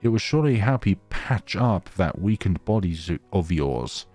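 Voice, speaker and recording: A man's voice: low voice, lively intonation, moderate pace, a little mellow, no room sound, faint music behind. A man voicing a polite, reserved young woman in a visual novel, courteous and matter-of-fact.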